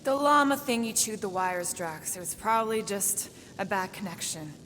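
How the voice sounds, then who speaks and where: An actress playing a cool, pragmatic alien warrior woman with a dry, measured manner in a video game. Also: mid-range voice, a bit bright, big-room sound, a little hiss or hum, natural voice. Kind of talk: game dialogue acting.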